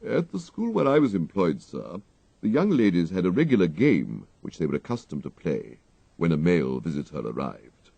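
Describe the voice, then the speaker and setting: Audiobook role: male reader as a discreetly sly English valet, formal, unflappable, low and slightly husky. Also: no echo, full character voice. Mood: deferential, composed. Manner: formal, measured, deadpan.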